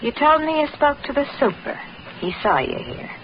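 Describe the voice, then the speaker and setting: Scheming killer dame, 1940s radio thriller style, controlling and emphatic, dull sound with faint hiss. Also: female voice, high voice, sing-song. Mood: cold menace. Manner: coaxing turning to menacing, drawn-out emphasis. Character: scheming, coldly manipulative femme fatale, a blackmailed killer.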